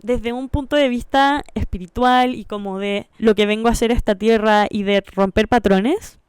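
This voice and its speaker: High, very clear Chilean woman's voice. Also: fairly even intonation, normal speed, darkish tone, no echo, studio quality. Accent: Chilean Spanish. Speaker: vlogger. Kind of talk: personal storytelling to camera.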